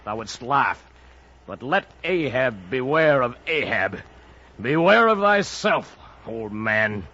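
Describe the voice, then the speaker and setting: An actor playing an earnest, steadfast ship's first mate, respectful but firm in formal archaic speech, in an old-time radio drama. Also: male voice, medium pitch, sing-song, slow pace, sharp tone, full character voice. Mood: grave warning. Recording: dull, noisy vintage radio recording.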